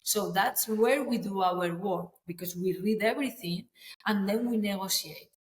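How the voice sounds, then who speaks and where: A woman's voice: mid-range voice, normal speed, low-quality recording. Woman, lawyer explaining stuff on a podcast, earnest, english. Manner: earnest, explanatory, non-native phrasing with restarts. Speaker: property lawyer, guest.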